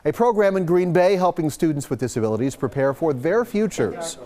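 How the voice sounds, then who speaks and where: A man's voice: fairly high pitch, expressive, a little fast, mellow. A man with an American accent reading scripted local news as a TV anchor in a studio.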